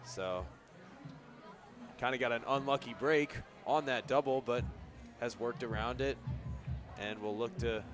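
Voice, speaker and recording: A man's voice: mid-range voice, measured pace, music in the background, a crowd in the background. A man giving conversational, explanatory color commentary during a live baseball radio broadcast. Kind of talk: conversational live game analysis. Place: outdoor baseball stadium. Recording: clearly noisy outdoor stadium background. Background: outdoor ballpark ambience.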